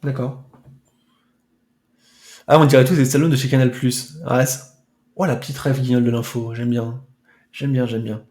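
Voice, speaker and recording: A man's voice: medium pitch, steady tone, normal speed, pauses often, a little mellow, reverberant. A man streaming a retro football game, chatting casually with playful, mock-dramatic asides. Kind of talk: casual let's-play chat.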